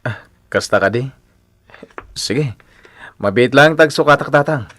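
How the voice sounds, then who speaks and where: A man's voice: mid-range voice, unhurried, fairly bright tone, a little hiss or hum, natural voice. An actor playing an earnest, hesitant young man in a Filipino radio drama, speaking with an Ilocano accent. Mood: flustered. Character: earnest, hesitant young man shy about courting his friend. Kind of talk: Philippine radio drama acting.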